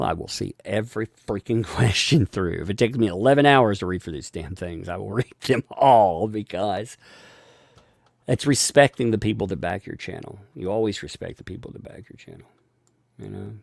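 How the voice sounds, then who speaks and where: A man hosting a casual live stream, thanking viewers for donations and rambling with frequent restarts and chuckles; he has a gravelly voice. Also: fairly low pitch, animated, normal speed, a little mellow, dry recording, clean studio sound.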